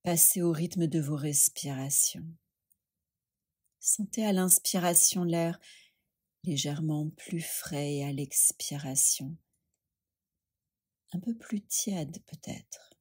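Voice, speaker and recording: A woman leading a guided yoga nidra meditation, speaking gently and soothingly with long pauses. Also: sits low, husky.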